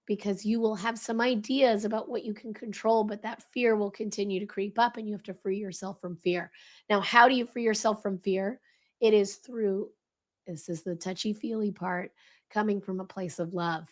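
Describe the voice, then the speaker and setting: Guest speaker, woman, hoarse and crackly today, brisk coaching delivery. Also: mid-range voice. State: hoarse, voice lost. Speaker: leadership consultant guest. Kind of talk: explaining a leadership framework.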